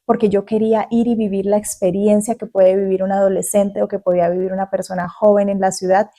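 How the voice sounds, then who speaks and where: A warm, mellow-voiced woman in her forties from Colombia talks earnestly to her viewer. She speaks at a steady, unhurried pace in a reflective monologue. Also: medium pitch. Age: 40s. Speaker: vlogger. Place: outdoors in the countryside facing mountains.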